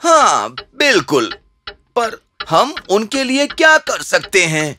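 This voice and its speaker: Kindly humble cobbler guy for a kids cartoon, sharp bright voice, swoopy pitch. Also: very animated, faint music behind. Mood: grateful, eager. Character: humble, grateful, good-hearted middle-aged male shoemaker. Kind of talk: children's cartoon dub.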